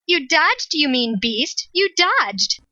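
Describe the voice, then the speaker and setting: A woman's voice: sits high, sing-song, slow pace, sharp tone, no echo, dull audio, exaggerated voice. A woman playing a violent, petulant young woman in a comic parody play, curt and imperious. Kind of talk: comic stage-play acting.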